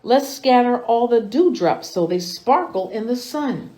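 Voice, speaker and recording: Woman playing a fairy schoolteacher, instructive, cheerful, animated intonation, children's storybook. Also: fairly high pitch, unhurried, voice pushed up. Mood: cheerful instructing. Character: kindly but exacting female fairy schoolteacher.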